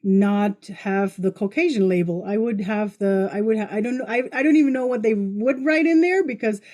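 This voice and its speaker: A woman hosting a podcast in casual conversation, with informal, halting phrasing as she rewords herself. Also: medium pitch, mellow. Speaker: podcast host.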